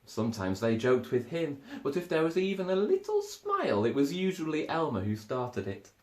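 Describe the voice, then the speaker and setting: Male storyteller, thirties, lively swooping intonation, expressive children's narration, roomy indoor sound. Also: fairly high pitch, moderate pace. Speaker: storybook reader. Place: small living room, indoors. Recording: echoey living room.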